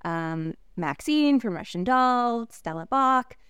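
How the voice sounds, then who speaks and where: A woman, a native Korean speaker, talking reflectively as an actress in an interview, halting with fillers and soft laughs. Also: a little high, expressive, clear, resonant tone, no room sound.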